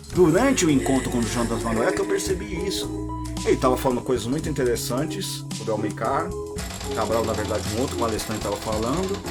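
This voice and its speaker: A Brazilian man hosting a podcast, delivering an opinionated monologue straight to his listeners and jumping into high mocking voices when he quotes people. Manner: addresses listeners directly as "my dear". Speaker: podcast host.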